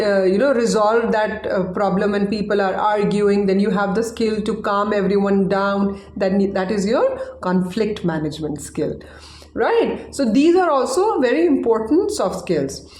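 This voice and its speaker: A woman's voice: mid-range voice, normal speed, a little mellow. A woman whose first language is Hindi, teaching a casual online English lesson in a chatty way and often checking in with "right?". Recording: echoey room.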